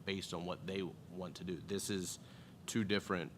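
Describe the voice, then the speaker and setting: A man's voice: sits low, fairly even intonation, normal speed, noisy background. A man on a school board explaining fund rules in a plainspoken way, with some restarts. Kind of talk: meeting discussion.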